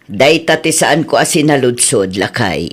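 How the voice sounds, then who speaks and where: A woman's voice: a bass voice, expressive, a little slow, breathy edge, full character voice. A woman playing a chatty, nosy middle-aged village auntie with an Ilocano accent in a Filipino radio comedy drama. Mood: casual, chatty.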